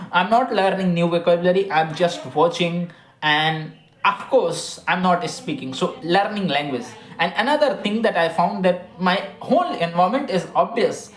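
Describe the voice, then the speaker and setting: A woman's voice: on the low side, reverberant, rough recording. A woman with an Indian English accent and Hindi as her first language, talking casually to camera with many restarts and small grammar slips. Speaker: vlogger.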